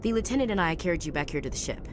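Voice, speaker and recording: Woman playing an earnest soldier, lowish voice. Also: animated, very fast, close to an everyday voice. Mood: earnest, apologetic. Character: earnest, dutiful young female soldier. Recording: clear engine-like ship noise behind.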